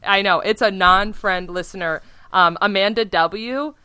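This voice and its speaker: A woman co-hosting a casual podcast, animated and chatty, with a loud voice, filler-heavy talk and frequent laughs. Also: mid-range voice, measured pace, smooth, no room sound. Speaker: podcast co-host.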